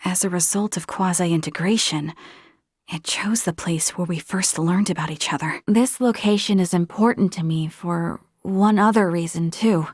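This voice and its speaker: A woman's voice: lowish voice, a little mellow, dry recording, clean studio sound, close to an everyday voice. A woman playing an earnest, polite young female space officer in a video game, speaking in a formal, thoughtful way. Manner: measured, formal, thoughtful delivery. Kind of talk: scripted video game voice acting.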